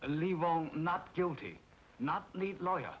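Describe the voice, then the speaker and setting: A man's voice: fairly high pitch, animated, slow pace, a little hiss or hum. An actor playing an anxious townsman accused of murder in an old television western, protesting in clipped broken English. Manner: clipped broken English, speaks of himself by name. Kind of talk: 1950s television western acting. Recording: muffled old TV soundtrack, loud music.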